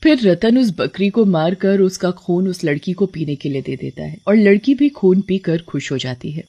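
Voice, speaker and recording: A woman narrating a scripted story in an expressive storybook style. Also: lowish voice, normal speed, husky, no room sound.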